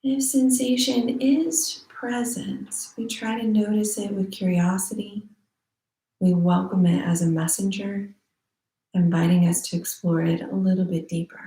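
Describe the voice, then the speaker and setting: A woman teaching guided meditation in a soothing, unhurried manner with long pauses. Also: on the low side, little pitch movement, warm tone. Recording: roomy echo.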